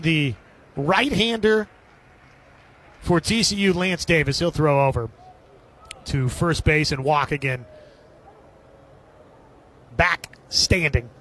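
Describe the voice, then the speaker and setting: Male sports radio commentator, high voice, live baseball play-by-play in short clipped phrases. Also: expressive, slow, long pauses, fairly bright tone.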